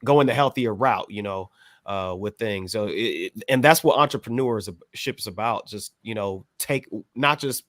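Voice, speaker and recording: A man hosting a casual podcast interview, wordy and halting as he circles around his phrasing. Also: on the low side, fairly bright tone, dry recording, studio quality. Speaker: podcast host interviewing a guest.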